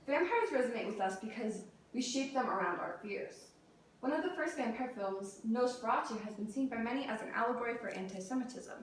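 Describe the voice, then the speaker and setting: A woman's voice: fairly high pitch, animated, a little fast. Echoey, distant young woman giving a speech. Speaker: student speaker giving a persuasive speech. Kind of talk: prepared persuasive classroom speech. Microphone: distant camera or room mic. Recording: very echoey room, distant mic, faint noise.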